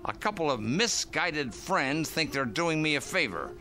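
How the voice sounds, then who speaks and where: A man's voice: fairly high pitch, animated, measured pace, over music. An actor playing a stubborn older man in a vintage radio drama, commanding and indignant. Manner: indignant, commanding, then overjoyed shouting. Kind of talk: 1950s radio drama acting.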